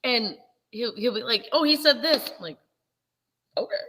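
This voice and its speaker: A woman with a Minnesota accent co-hosting a casual chat, chatty and quick to laugh. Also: a little high, bright, forceful tone, long reverb tail, slight background noise. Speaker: co-host.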